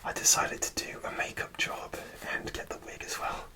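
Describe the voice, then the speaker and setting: A man softly whispering a rambling ASMR story, with many fillers and restarts. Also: normal speed. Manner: soft, relaxed whisper throughout. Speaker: ASMR creator.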